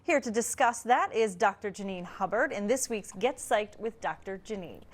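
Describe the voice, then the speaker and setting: A woman's voice: medium pitch, animated, moderate pace. A woman presenting and interviewing as the host of a news show.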